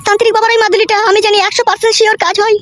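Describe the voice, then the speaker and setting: A man voicing a scheming, foul-mouthed village mother in an amateur comedy cartoon, with a rural West Bengali accent, a dramatic scolding manner and a pitched-up voice. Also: flat delivery, very fast, a bit bright, faint music behind. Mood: plotting.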